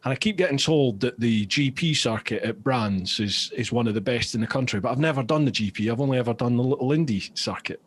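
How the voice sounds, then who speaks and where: A man's voice: mid-range voice, quick pace, hardly any pauses, husky. A man from the south of England hosting a podcast, chatting casually and enthusiastically and reading out listener messages. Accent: southern English. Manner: enthusiastic, excitable, reads listener messages aloud. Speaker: podcast host. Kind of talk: casual interview chat.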